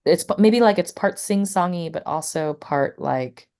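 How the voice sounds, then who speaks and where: Low-voiced woman, rambling with fillers. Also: animated, a little slow, a little mellow. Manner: rambling, many fillers like "like" and "you know". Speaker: poet and teacher, interview guest. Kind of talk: casual interview talk.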